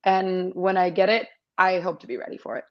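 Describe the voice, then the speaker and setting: A woman in her twenties with a slightly raspy voice, chatting upbeat as a guest in an interview over a video call. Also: lowish voice, little pitch movement, brisk, dull audio. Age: 20s. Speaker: competition MC, guest. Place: small indoor room.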